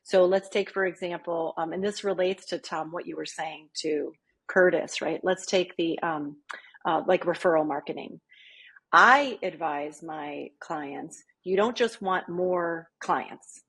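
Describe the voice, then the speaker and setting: A woman's voice: fairly low pitch, unhurried, vocal fry, fairly bright tone, breathy edge. A woman, a small business coach, speaking as a guest on a podcast interview in a chatty way that keeps checking in with her listeners. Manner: chatty, keeps asking "right?".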